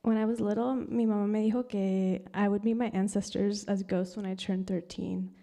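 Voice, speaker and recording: Gravelly woman performing a poem aloud. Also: mid-range voice, fairly even intonation, moderate pace, mellow. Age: 30s. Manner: dramatic, shifting from whispers to screams; mixes English and Spanish. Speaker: poet reading at a live event. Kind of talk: performance poetry reading.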